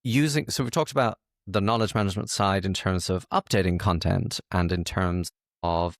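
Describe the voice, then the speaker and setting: Man, podcast host, casual interview chat, gravelly fry, slightly low pitch, informal banter. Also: moderate pace, dry recording, clean studio sound.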